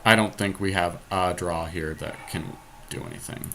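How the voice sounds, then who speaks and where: A man's voice: deep voice, moderate pace, vocal fry, a bit bright. A man giving casual commentary on an online card game, thinking aloud in a resigned, matter-of-fact way. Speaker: podcast host. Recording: noisy amateur screen-capture recording.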